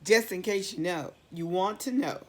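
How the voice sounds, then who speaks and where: A woman's voice: lowish voice, normal speed. A woman reading aloud to children expressively, asking the listeners questions and repeating phrases for dramatic emphasis. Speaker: storybook read-aloud reader.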